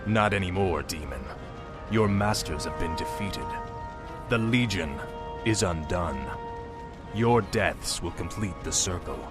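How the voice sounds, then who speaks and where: Low-voiced, cold undead king. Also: a little slow. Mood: cold, commanding. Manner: commanding, menacing, formal. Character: cold, arrogant undead warrior king, young man. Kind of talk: video game cutscene acting. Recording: music behind the voice.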